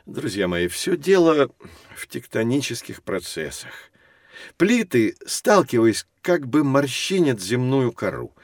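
An actor playing a lively, patient professor who explains things expressively to children in an educational audio drama. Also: male voice, mid-range voice, measured pace, no echo, studio quality. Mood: patiently explanatory.

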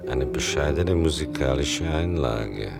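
An actor playing a wry, unashamed grown son narrating his mother's story in a radio play, deadpan and formal. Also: rumbling low, creaky, loud music behind the voice, exaggerated voice. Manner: deadpan, deliberate, provocatively formal.

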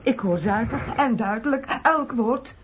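An actress playing a pious, nagging old wife in a radio drama, exclaiming dramatically. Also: female voice, on the high side, animated, measured pace. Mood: joyful, amazed. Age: older. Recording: faintly noisy old radio play.

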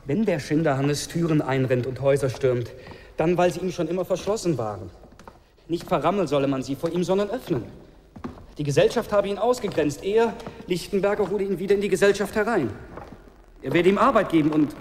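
An actor playing a cool, calculating young strategist in a radio drama, speaking in formal, measured, precise phrases. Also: male voice, a little high, animated, a little mellow, a little hiss or hum, lightly acted. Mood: dry, ironic. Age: young adult. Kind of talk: German radio drama acting.

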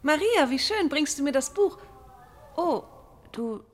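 A woman's voice: high-pitched, expressive, a little slow, a little hiss or hum. An actress playing a genteel woman teacher in a radio drama. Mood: pleased, then crestfallen. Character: genteel woman teacher, gracious but hurt.

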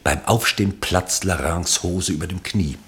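A man's voice: deep voice, moderate pace, fairly bright tone. A man narrating a literary radio play in an ironic, elevated storytelling manner.

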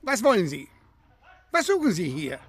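High-pitched, bombastic circus director. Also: male voice, wildly swooping pitch, normal speed. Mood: brusque, mocking. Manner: theatrical, grandiose, swooping delivery. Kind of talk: radio play acting.

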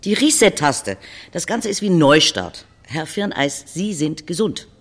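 A brisk, patronising hospital doctor in an audio drama. His voice sits fairly high, husky and creaky, and he delivers clinical talk bluntly. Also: sing-song, fairly bright tone, exaggerated voice. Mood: brisk, matter-of-fact. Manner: clipped clinical jargon, patronising.

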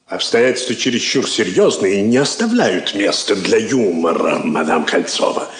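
An actor playing a pompous, formal diplomat with a ceremonious, officious delivery, in stage-style radio drama. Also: male voice, on the low side, sing-song, a little slow. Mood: indignant, threatening. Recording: echoey old studio drama recording.

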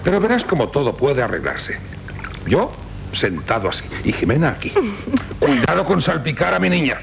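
A man's voice: fairly high pitch, sing-song, moderate pace, vocal fry. An actor playing a hearty, doting warrior count and father in Spanish radio theatre, grandiloquent and affectionate, with a Castilian accent. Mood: playful. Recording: faintly noisy 1970s radio recording.

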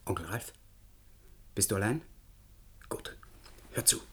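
A man's voice: lowish voice, expressive, measured pace, a bit bright, husky, exaggerated voice. A man playing a determined, idealistic scientist in a German radio drama, speaking in an insistent, articulate way. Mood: hushed, secretive. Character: determined, idealistic male scientist, stubborn and defiant.

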